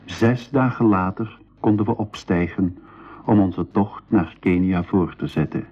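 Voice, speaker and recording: Slow, husky storytelling nephew. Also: male voice, deep voice. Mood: measured. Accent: Belgian Dutch. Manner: measured, storytelling. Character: nephew narrating his world journey, thoughtful, measured. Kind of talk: scripted radio play narration. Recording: phone-like, narrow 1980s radio recording.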